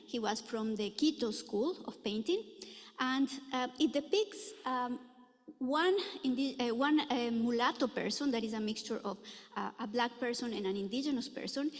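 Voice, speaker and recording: A middle-aged woman from Ecuador gives an earnest conference lecture, pausing often on fillers as she thinks. Her voice sits a little high, and the recording carries a long hall reverb. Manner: earnest, many filler pauses. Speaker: anthropology professor giving a talk. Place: lecture room.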